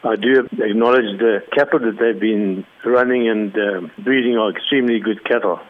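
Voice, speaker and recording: A man's voice: fairly low pitch, moderate pace. Man from Zimbabwe on a phone line. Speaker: cattle rancher, guest. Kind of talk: phone interview.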